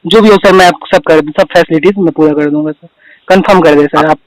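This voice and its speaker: High-voiced young man on the phone. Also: steady tone, fairly quick, dry recording. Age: young adult. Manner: polite but insistent, says sir constantly. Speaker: student answering a phone call. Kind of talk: phone conversation. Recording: recorded phone call.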